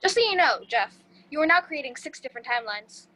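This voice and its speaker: A woman's voice: sits high, lively intonation, fast talker, pushed and bright, rough recording. A woman reading the part of a literal-minded, matter-of-fact young man in a sitcom table read.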